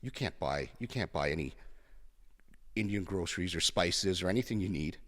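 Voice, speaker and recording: A Canadian man in his fifties telling his personal story as a podcast guest, halting and reflective, pausing and repeating words. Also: low voice, animated, fairly bright tone, long reverb tail. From Canada, born in Kenya.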